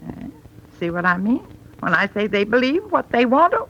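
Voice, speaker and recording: A woman's voice: on the high side, sing-song, moderate pace, fairly bright tone, slight background noise. An actress playing a wry, kindly, plain-spoken old grandmother in a 1930s radio comedy. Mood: insistent. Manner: folksy, wry. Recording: dull 1930s radio recording.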